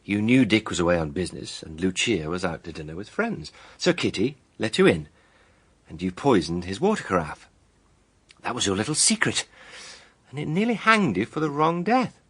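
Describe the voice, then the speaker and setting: An actor playing a self-assured, righteous accuser in a radio mystery drama, with emphatic, deliberate phrasing. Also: male voice, on the low side, sing-song, a bit bright, slightly rough, full character voice. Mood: accusing, certain. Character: righteous, self-assured male accuser laying out a murder case.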